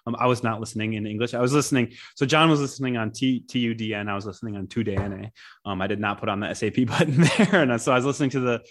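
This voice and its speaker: A man's voice: medium pitch, very fast. A man co-hosting a soccer podcast, talking casually with frequent restarts and small laughs. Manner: conversational, many restarts and soft laughs. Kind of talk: casual sports talk.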